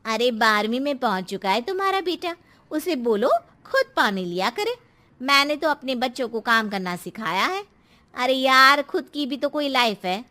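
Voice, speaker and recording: A woman's voice: sits high, very animated, moderate pace, pushed and bright, heavily acted. A woman playing a bossy, outspoken neighbour in a dramatised story, bluntly handing out advice. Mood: chiding. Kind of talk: dramatised Hindi moral story.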